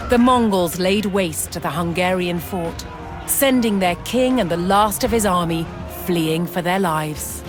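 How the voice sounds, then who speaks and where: Woman narrating history over prominent music. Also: on the low side. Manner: measured, dramatic storytelling with deliberate pauses. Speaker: documentary narrator. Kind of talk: scripted historical documentary narration. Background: background music.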